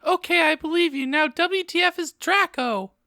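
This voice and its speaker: Woman doing snarky mad goth teen girl, high bright voice, scornful, dry close sound, audiobook. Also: moderate pace, no echo, full character voice. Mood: snippy, dismissive. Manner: snippy, scornful.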